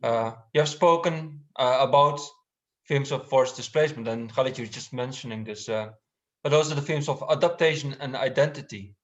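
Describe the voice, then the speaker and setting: A man's voice: mid-range voice, normal speed, muffled recording. A man moderating a panel discussion, asking questions tentatively with many fillers.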